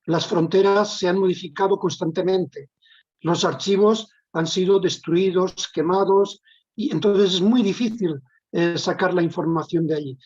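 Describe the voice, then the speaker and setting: A man lecturing in answer to audience questions in a measured, explanatory way. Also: high voice, darkish tone, breathy edge. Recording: dull lo-fi online call.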